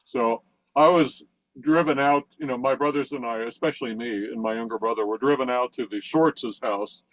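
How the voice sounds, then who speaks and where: A man's voice: mid-range voice, normal speed, a bit bright, dry recording, low-quality recording. An older man from central Pennsylvania telling a long story in casual chat, rambling with many restarts and chuckles, on a video call. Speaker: participant in a technical session.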